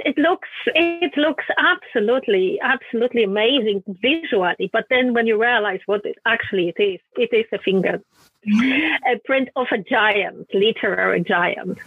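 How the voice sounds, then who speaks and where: A woman moderates a panel of writers, a native Polish speaker who thinks aloud in a warm, hesitant way. Her voice is husky and dry. It comes through a thin, phone-like video call. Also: medium pitch, no echo. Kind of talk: casual chat.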